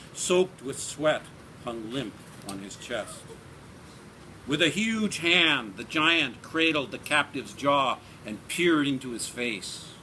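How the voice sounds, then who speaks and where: Bright-voiced man giving a dramatic reading. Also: mid-range voice, slight background noise, poor audio. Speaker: author reading aloud from a novel. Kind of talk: dramatic literary reading of scripted narration. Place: live reading at a bookstore event.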